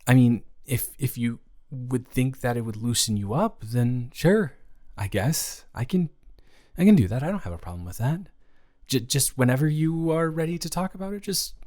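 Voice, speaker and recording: A young man playing a gentle, awkward roommate with a crush in an improvised roleplay audio, hesitant and reassuring as he talks. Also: medium pitch, expressive, moderate pace, natural voice. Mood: tender, shy.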